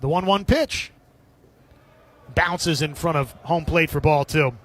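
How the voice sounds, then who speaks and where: A man's voice: fairly high pitch, slow, fairly bright tone, slight background noise. A man doing live radio play-by-play commentary of a baseball game.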